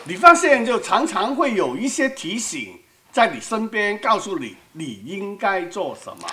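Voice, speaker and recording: Woman preacher with a Cantonese accent, lively and conversational, pauses for her interpreter. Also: medium pitch. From Hong Kong. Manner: conversational, asks the audience questions. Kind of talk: sermon with live interpretation.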